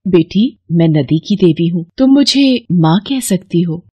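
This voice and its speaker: A motherly river goddess from a cartoon fairy tale, voiced by a woman. She speaks in a gentle, consoling way, fairly low, in a dry recording. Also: lively intonation, measured pace, breathy edge, dry recording, lo-fi sound. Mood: kind, consoling. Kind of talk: animated moral story dialogue.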